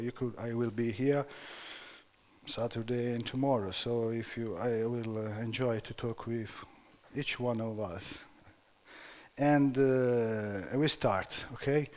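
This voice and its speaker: A man's voice: medium pitch, darkish tone. Older italian guy giving a talk in english, lots of uh's, echoey hall. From Italy. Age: 60s. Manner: hesitant, searching for words with many fillers. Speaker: conference speaker. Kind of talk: lecture. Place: conference hall. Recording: long hall reverb.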